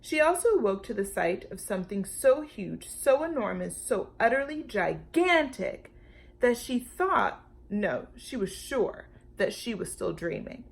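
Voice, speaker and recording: A woman reading a children's storybook aloud as a storytime teacher, expressive and speaking directly to young listeners. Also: medium pitch, unhurried, vocal fry.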